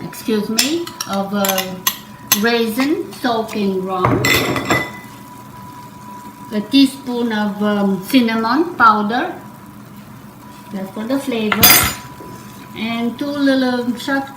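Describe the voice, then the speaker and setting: Slow-spoken Romanian-accented woman in an echoey kitchen. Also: on the high side. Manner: unhurried, warm-hearted, with fillers and self-corrections. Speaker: cooking demonstrator. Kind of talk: home cooking demonstration. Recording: echoey kitchen with background noise.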